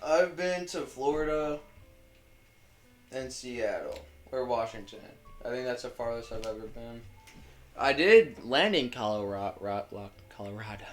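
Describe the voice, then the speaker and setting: A man's voice: mid-range voice, expressive, a little slow, soft background music. A young man co-hosting a casual podcast, rambling and stumbling over words, swearing freely. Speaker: podcast co-host. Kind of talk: casual chat.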